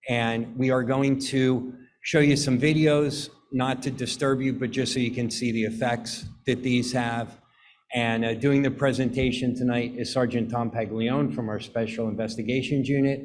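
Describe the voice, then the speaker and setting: A man from a prosecutor's office giving an earnest, somewhat halting introduction at a public meeting. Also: mid-range voice, fairly even intonation, a little hiss or hum. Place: meeting room.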